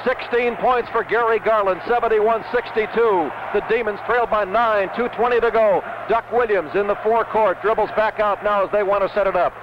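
A man's voice: high voice, fairly even intonation, normal speed, hardly any pauses, highs cut off, background noise. A man calling live basketball play-by-play on the radio in terse, clipped phrases, on an old 1970s broadcast recording. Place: courtside in a basketball arena.

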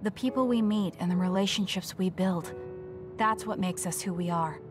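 A woman's voice: medium pitch, normal speed, natural voice. A woman voicing a blunt young soldier girl. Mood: earnest. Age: young adult. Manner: matter-of-fact, reserved, blunt. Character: cool, blunt young female psychic soldier. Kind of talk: video game dub acting. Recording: game audio with music behind.

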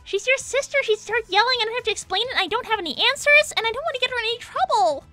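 Squeaky, girlish cartoon hedgehog. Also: lively intonation, fast talker, bright, forceful tone, faint music behind, full character voice. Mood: apologetic, worried. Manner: girlish, earnest, insistent. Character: sweet, lovestruck young female pink cartoon hedgehog, insistent. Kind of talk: improvised in-character livestream Q&A.

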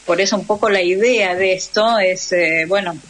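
A woman's voice: medium pitch, moderate pace, poor audio. A woman with an Argentine accent talking conversationally as a radio interview guest, explaining and often checking with tag questions.

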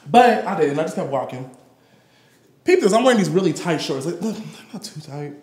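A man's voice: a little high, animated, brisk, a little mellow. A young American man telling candid personal stories live in a playful, sassy, slangy way as a performance artist. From Detroit, USA. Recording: roomy live gallery recording.

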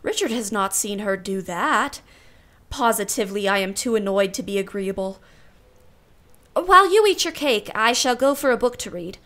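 A woman's voice: medium pitch, expressive, normal speed, fairly bright tone, natural voice. A woman playing a proud, wry, refined aunt in a period radio play, with formal, precise diction. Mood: annoyed, polite.

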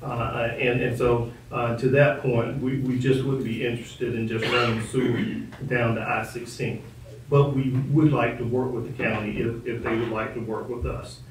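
A man with a mid-pitched voice gives a formal presentation as a city official. His delivery is measured and diplomatic. He sounds distant in a very reverberant meeting room. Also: flat delivery. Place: indoor council chamber. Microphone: distant room microphone. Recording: echoey meeting room, distant mic, faint noise.

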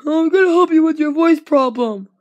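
Bossy one-eyed green monster, voiced by a puppeteer, loud and pushy, bouncing pitch. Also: female voice, expressive, normal speed. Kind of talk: homemade plush puppet skit.

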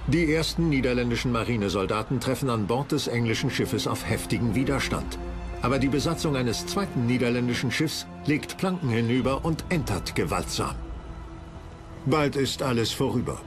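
A man's voice: mid-range voice, expressive, moderate pace. A man narrating a historical documentary from a script in a dramatic storytelling style. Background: music.

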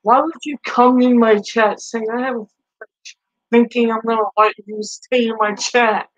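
A woman's voice: on the high side, fairly even intonation, moderate pace, no echo. Brash, foul-mouthed Boston woman streamer. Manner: brash, profane trash talk. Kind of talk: live stream chat reply. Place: small bedroom. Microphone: headset mic. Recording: dull lo-fi stream audio.

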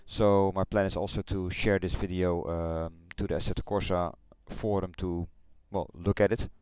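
A middle-aged man with a Dutch accent, chatting loosely through a replay of his racing game run, halting and correcting himself as he goes. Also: low-pitched, flat delivery, a little mellow, clean tone, dry recording. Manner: halting, rambling, self-correcting. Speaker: sim racing streamer. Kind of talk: casual replay commentary. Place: home gaming room.

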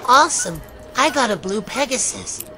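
A woman's voice: on the high side, big pitch swings, normal speed, pushed and bright, faint music behind, poor audio. A woman voicing a casual, mildly smug pal in a cartoon comic dub.

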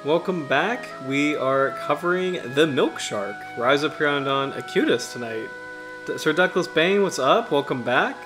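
A man in his thirties from the US Chesapeake Bay region, hosting a casual livestream in a halting, self-deprecating way, his throat slightly raspy from allergies. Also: fairly high pitch, animated, music in the background. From United States, Chesapeake Bay region. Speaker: livestream host.

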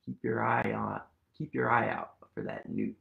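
A man's voice: on the low side, normal speed, creaky, phone-quality sound, a little hiss or hum. A man in his thirties reading a picture book aloud to young children, playful and sing-song, dropping into whispers, at home on a sofa in front of a webcam. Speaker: children's storytime reader. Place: living room at home, seated on a sofa.

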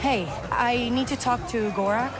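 A woman playing a wary, quick-witted young scoundrel in a casual tone for a video game. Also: a little high, a loud crowd, heavy background noise, natural voice. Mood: determined.

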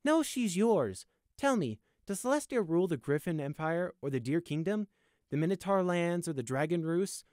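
A small, mouthy young pony prisoner acted out by a man in an audiobook tale. The voice leaps high and swoops about, flippant and needling, with a theatrical, mocking edge. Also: lively intonation, normal speed, darkish tone, no echo, studio quality, lightly acted. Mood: sarcastic. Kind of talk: audiobook character acting.

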